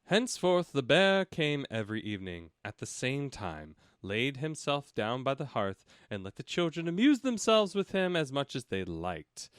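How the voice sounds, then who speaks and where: A man's voice: mid-range voice, big pitch swings, normal speed, hardly any pauses, clear, resonant tone, dry recording, clean studio sound. A man reading a storybook aloud as a comic co-host, breaking off for casual, irreverent remarks. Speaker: comic audiobook reader and co-host.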